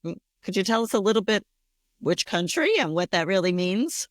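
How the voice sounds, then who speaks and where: A woman's voice: medium pitch, animated, moderate pace, no room sound, clean studio sound. A woman co-hosting an interview show, asking questions in a friendly, conversational way. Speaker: podcast co-host.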